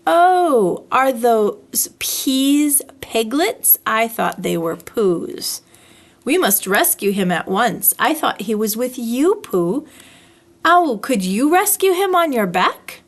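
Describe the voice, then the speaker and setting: Woman acting young boy, kind, earnest, eager, high-ish lively voice, storybook. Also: takes it slowly, full character voice. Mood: urgent.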